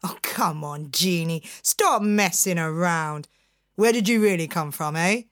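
A woman's voice: lowish voice, a little slow, no echo, studio quality, voice pushed down, lightly acted. A woman voicing a cheeky fisherman who teases and taunts in mock disbelief. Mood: teasing. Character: cheeky, scheming fisherman, a man.

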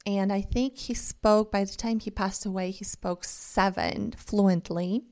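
A woman's voice: medium pitch, steady tone, measured pace, darkish tone. Earnest woman. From Bulgaria. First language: Bulgarian. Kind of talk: reflective monologue.

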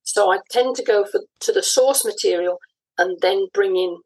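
A woman with a somewhat husky, breathy voice at a middling pitch speaks as an interview guest. She explains things thoughtfully and conversationally, pausing within phrases as she works through each point. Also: darkish tone, rough recording. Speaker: interview guest, audio drama writer.

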